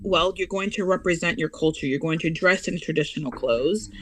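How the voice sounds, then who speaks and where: An American woman speaking as a guest in a casual interview, earnest and emphatic about her children and her values. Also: fairly low pitch. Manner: earnest, emphatic, speeds up and slows down to stress points.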